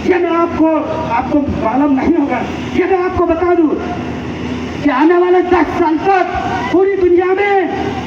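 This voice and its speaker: A man's voice: very high-pitched, a little slow. Impassioned male community speaker, fiery and scolding, heard from afar through a muffled, noisy outdoor recording. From Madhya Pradesh, India. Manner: fiery, rousing, scolds listeners. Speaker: community activist addressing a gathering. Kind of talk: impassioned public speech. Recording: echoey, distant, dull, noisy.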